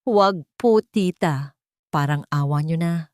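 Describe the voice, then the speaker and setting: A woman's voice: low voice, measured pace, darkish tone, no echo, studio quality. A woman voicing a frightened poor young woman who pleads respectfully in an audio story. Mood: scared, pleading.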